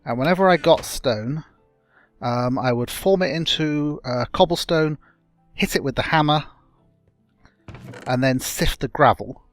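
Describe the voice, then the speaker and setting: A young man hosting a casual gameplay video, chatting and rambling through his progress with a slightly croaky voice. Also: fairly high pitch, measured pace, pauses often, soft background music. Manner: rambling, self-correcting, chatty. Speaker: let's play host.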